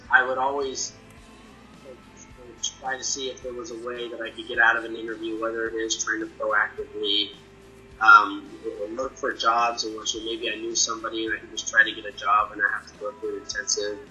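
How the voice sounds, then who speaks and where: Young man with a stutter, sharp bright timbre, earnest personal testimony. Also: fairly low pitch, monotone, normal speed, faint music behind, rough recording. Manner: stutters, with repeated sounds and restarts. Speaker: person sharing his experience.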